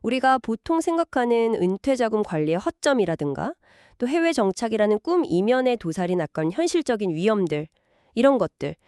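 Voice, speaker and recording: A woman's voice: a little high, sing-song, a little fast, darkish tone, clean tone, dry recording, studio quality. A woman co-hosting a scripted discussion, agreeing and reflecting thoughtfully. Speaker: podcast-style co-host. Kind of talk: scripted two-host discussion.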